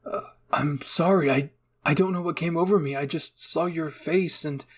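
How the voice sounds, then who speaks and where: A man acts out a caring young boy in an audio fan-fiction roleplay. His voice sits on the high side with a husky edge. He speaks in an earnest, coaxing way, as if comforting a close friend. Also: steady tone, normal speed. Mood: apologetic. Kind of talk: acted dialogue in a fan-fiction audio reading.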